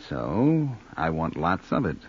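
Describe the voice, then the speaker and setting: Deep, silky, menacing blackmailer. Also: male voice, huge pitch swings, vocal fry, husky, full character voice. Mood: quietly menacing. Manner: deliberate, insinuating. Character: silky, menacing male hired killer turned blackmailer, mock-genteel. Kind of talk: old-time radio crime drama acting. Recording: dull old-time radio recording.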